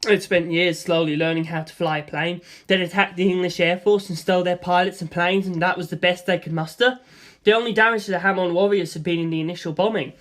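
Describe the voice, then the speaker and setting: Expressive audiobook-style storyteller. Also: mid-range voice, quick pace. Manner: acts out sound effects with gusto. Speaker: narrator reading a novel aloud. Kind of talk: expressive storytelling, first-person narration.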